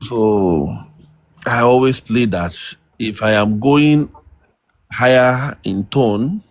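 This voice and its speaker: A middle-aged man, a guest elder giving measured marriage advice over a distant remote call line. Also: mid-range voice. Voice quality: loud voice. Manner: slow, measured advice with pauses.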